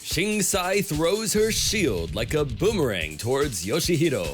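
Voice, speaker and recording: A man's voice: on the high side, sing-song, measured pace. Guy narrating an action tale, dramatic, very up and down, music behind. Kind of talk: dramatic scripted story narration. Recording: music under the voice.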